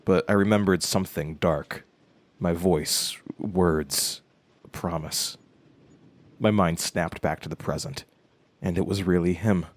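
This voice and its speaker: Deep-voiced haunted horror narrator. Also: male voice, measured pace, no room sound. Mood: brooding. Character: haunted male horror protagonist narrating his traumatic past. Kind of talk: scripted first-person audio drama narration.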